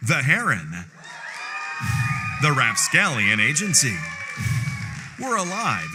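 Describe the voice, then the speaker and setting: A man's voice: medium pitch, huge pitch swings, takes it slowly, rough recording. A man announcing nominees, reading a scripted list of titles in a dramatic style. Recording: music and crowd behind.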